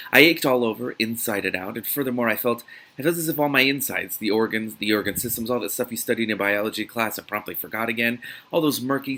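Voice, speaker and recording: A man reading a novel aloud as host of a reaction series, in a dramatic, expressive manner. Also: mid-range voice.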